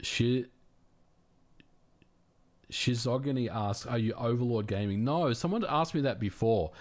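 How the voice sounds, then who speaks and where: A man with an Australian accent hosting a casual livestream, answering viewer questions in a relaxed, friendly way with frequent fillers and restarts. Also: medium pitch, a little slow. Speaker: livestream host.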